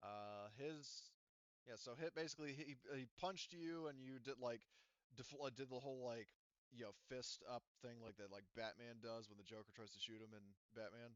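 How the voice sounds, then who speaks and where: A man running a tabletop roleplaying game as game master, narrating casually and haltingly as he thinks aloud. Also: medium pitch, steady tone, a bit bright, smooth, no echo, highs cut off.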